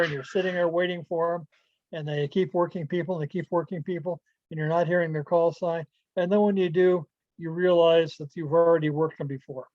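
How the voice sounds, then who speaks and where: A man giving an informal online presentation to club members, explaining things in a halting, rambling way over a video call. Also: a little high, darkish tone, no echo. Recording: muffled lo-fi video call audio.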